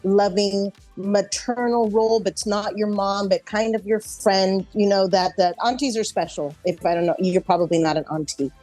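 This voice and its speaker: A woman's voice: mid-range voice, steady tone, moderate pace, hardly any pauses, a little mellow, faint music behind. A middle-aged woman actor chatting casually in an interview, speaking in a reflective, conversational way.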